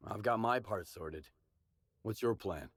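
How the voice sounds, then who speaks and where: Low, laconic male stalker hero. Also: unhurried, fairly bright tone, slightly rough, no room sound. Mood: businesslike. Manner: laconic, dry, self-assured. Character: tough, unflappable male mercenary stalker hero. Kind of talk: video game voice acting.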